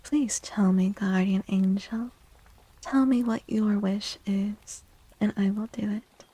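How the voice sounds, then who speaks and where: A woman hosting a soft-spoken ASMR livestream, hushed and breathy, often slipping into whispers and gently repeating phrases. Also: mid-range voice, fairly even intonation, slow, no room sound, poor audio. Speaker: livestream host, tarot reader. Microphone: close microphone in a dry space.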